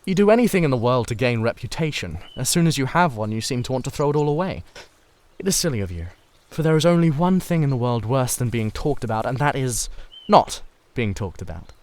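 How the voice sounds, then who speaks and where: Man putting on a languid, pompous aristocratic gentleman. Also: medium pitch, lively intonation, fairly quick, lightly acted. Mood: amazed, chiding. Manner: languid, pompous, drawling emphasis. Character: languid, witty, vain English aristocrat gentleman. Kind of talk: dramatised classic novel reading.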